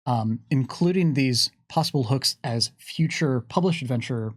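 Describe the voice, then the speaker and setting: A man's voice: medium pitch, normal speed, dry recording. Man hosting an unscripted Q&A, dry sound. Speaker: host of a livestream Q&A. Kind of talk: unscripted lore discussion.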